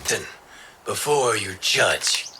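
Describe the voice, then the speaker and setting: An actor playing a calm, noble warrior god in a video game, speaking in an earnest, formal and courteous way. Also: male voice, lowish voice, slow pace, noisy background. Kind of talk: dramatic video game acting. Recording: music behind the dialogue.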